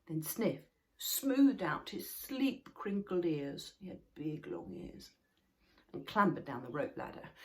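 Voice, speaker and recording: A woman reads a children's story aloud with a fairly low voice and a very lively pitch. She is expressive and at times drops her voice to a soft whisper. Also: poor audio. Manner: expressive, sometimes dropping to a whisper. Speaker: storybook reader. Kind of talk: reading a children's book aloud.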